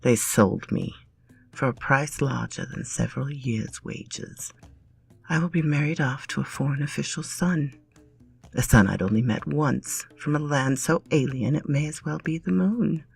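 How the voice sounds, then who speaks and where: A woman narrating a story in a dramatic, breathy, often whispered style. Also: extremely low pitch, measured pace, a little mellow.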